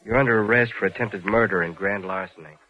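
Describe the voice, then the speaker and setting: An actor playing a dry, blunt American police detective in a 1940s radio crime drama. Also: male voice, lowish voice, quick pace, loud music behind the voice, close to an everyday voice. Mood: blunt, stern. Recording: dull vintage radio recording.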